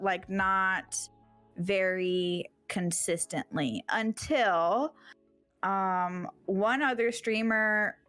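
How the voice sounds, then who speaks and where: A woman streamer as an interview guest, talking in a relaxed, rambling way with many fillers. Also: medium pitch. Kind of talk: casual interview chat.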